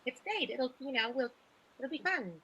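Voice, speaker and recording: High, brittle, falsely cheerful eloping woman, acted. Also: expressive, normal speed, pushed and bright, slight background noise, full character voice, low-quality recording. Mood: forced cheer. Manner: brittle, repetitive, sarcastically cheerful. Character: upset woman insisting through clenched cheer she's happy. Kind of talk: improvised radio play acting.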